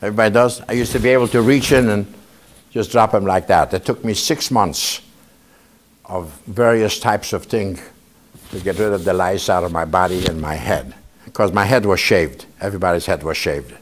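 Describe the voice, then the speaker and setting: Man in his seventies, lowish voice, chatty testimony to students, keeps checking in with listeners, indoor room, clip-on mic. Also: normal speed, slight background noise. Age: 70s. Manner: conversational, often checks in with listeners by asking "okay?". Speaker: Holocaust survivor speaking to students. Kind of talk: informal testimony talk to a student audience.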